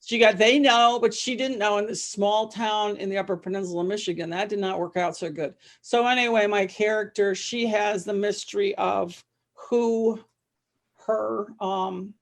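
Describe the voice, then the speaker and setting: A woman's voice: medium pitch, fairly even intonation, normal speed. A woman interview guest, an author and psychologist, talking casually and circling back mid-sentence.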